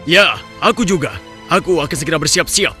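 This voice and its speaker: A man's voice: a little high, lively intonation, fairly quick, bright, forceful tone, faint music behind. A man voicing a worried, grumbling village man full of doubt in a cartoon. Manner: grumbling, anxious. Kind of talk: Islamic cartoon dubbing.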